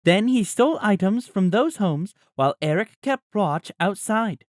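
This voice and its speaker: A man voicing a formal, composed mother in an audiobook, speaking in an even, official manner. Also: mid-range voice, a little slow, no room sound, studio quality, pitched above the performer's own voice, close to an everyday voice. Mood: formal, firm. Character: formal, composed mother addressing wronged neighbors. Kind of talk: audiobook character voice.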